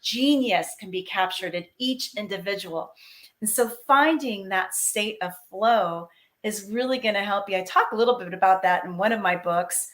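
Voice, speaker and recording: A woman's voice: medium pitch, moderate pace. A woman mentor giving earnest, encouraging advice in a casual panel chat over a video call. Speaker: mentor expert.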